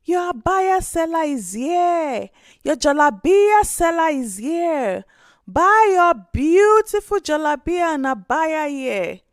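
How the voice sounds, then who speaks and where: A woman's voice: sits high, big pitch swings, slow pace, no room sound, studio quality, voice pushed up, heavily acted. A woman voicing a brusque male street trader in a storybook tale. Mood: calling out. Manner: calling out, dismissive. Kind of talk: storybook character voice.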